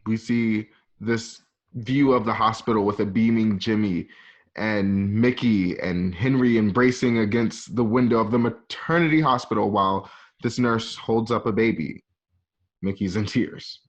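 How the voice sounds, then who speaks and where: Male narrator for a script table read: fairly low voice, reading scene directions, slightly dull lo-fi home recording. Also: a little slow.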